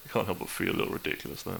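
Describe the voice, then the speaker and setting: Casting: male actor as melancholy young best friend, gravelly, wry and halting, intimate roleplay audio with noticeable background ambience. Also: deep voice, a bit bright, a little hiss or hum, full character voice, rough recording. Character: depressed young man, dry-witted, awkward, confessing to best friend.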